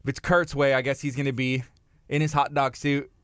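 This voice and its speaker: A man's voice: medium pitch, level tone, brisk, clear, resonant tone, no room sound. A man in his thirties co-hosting a live morning radio show, upbeat and chatty, laughing often and restarting phrases, in a studio on a studio microphone. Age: 30s. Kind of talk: casual live radio chat.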